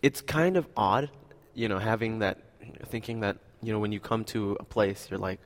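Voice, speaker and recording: A man's voice: fairly low pitch, moderate pace, big-room sound. A young man from Indonesia, answering interview questions in a hesitant, casual way with many fillers. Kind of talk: casual conversation.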